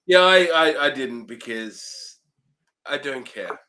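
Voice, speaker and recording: Animated, bright, higher-pitched man. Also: slow pace. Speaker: co-host of a film review show. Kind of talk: casual review banter.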